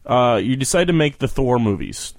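A man in his twenties with a very lively, swooping delivery, recorded close and dry. He talks like a podcast host in a loose interview, bantering, cutting in and knocking himself in a casual way. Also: mid-range voice, normal speed, dry recording. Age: 20s. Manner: bantering, self-deprecating, interjecting.

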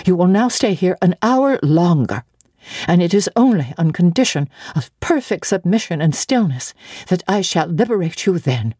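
A woman's voice: fairly low pitch, very animated, normal speed, warm tone, breathy edge, no echo, clean studio sound. A woman doing a cold, commanding stern aunt.

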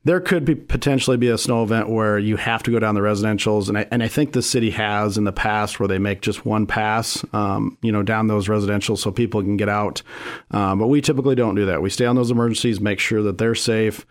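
Low-voiced man explaining city snow plowing. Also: fairly even intonation, a little fast. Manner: explains plainly with many fillers and restarts. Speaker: city street operations official. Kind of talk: radio interview answers. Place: radio studio.

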